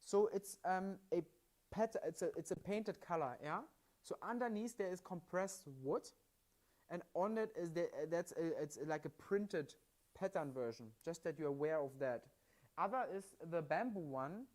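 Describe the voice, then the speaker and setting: A man's voice: a little high, animated, normal speed, darkish tone. A man from Germany with a German accent, presenting products live in a chatty, step-by-step way. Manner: chatty, upbeat, explains step by step with frequent "yeah?" tags. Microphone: mic worn at his side.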